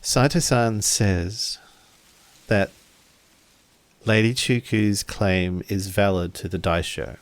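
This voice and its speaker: A man acting as game master, narrating a tabletop roleplaying game in a deliberate, halting way and relaying what characters say. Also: lowish voice, steady tone, no room sound.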